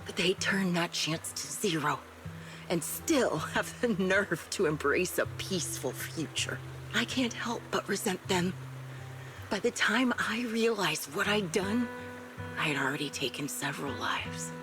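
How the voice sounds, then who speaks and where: A vengeful ex-soldier haunted by war, in a story-driven game. Her voice sits low and broods, with measured, breathy trailing phrases. Music plays clearly underneath. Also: lively intonation. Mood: bitter, subdued. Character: haunted vengeful ex-soldier woman, coldly resigned, confessing killer. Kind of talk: scripted video game story acting.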